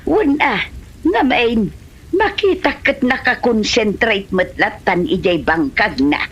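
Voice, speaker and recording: A woman's voice: on the high side, expressive, takes it slowly, husky, lo-fi sound, full character voice. A woman with a Filipino accent playing a stubbornly single, exasperated village farm woman in a comedy drama. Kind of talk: comedy radio-style drama acting.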